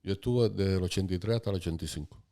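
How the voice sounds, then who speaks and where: A Cuban man in his fifties, a podcast guest calmly recalling his life story in casual conversation. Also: deep voice. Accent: Cuban Spanish. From Cuba. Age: 50s. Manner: calm, unhurried, recalling dates and places.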